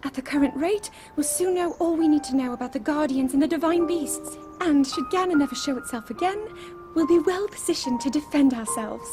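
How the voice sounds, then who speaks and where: High-voiced earnest young princess played by an actress. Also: female voice, brisk, over music, close to an everyday voice. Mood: hopeful. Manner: formal, refined, earnest phrasing. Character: earnest young princess, burdened and frustrated, dutiful. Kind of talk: dramatic video game cutscene acting.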